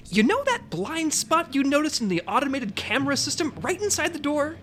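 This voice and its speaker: An actress playing an earnest, excitable, by-the-book secret agent in an audio comedy drama. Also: female voice, sits high, fairly quick, slight background noise. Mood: eager, supportive.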